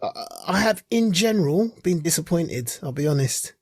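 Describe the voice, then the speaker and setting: A man's voice: fairly high pitch, expressive, normal speed, a little mellow, dry recording, clean studio sound. A man co-hosting a podcast in casual chat, giving opinions informally and repeating phrases for emphasis. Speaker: podcast co-host.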